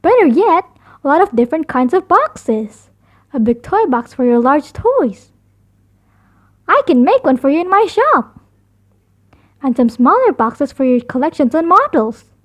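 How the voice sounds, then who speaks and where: A storybook father bear, put on by a woman reader, firm and reasonable as he addresses the family. The voice is high for the part, with very lively swings in pitch. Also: measured pace, long pauses. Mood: enthusiastic.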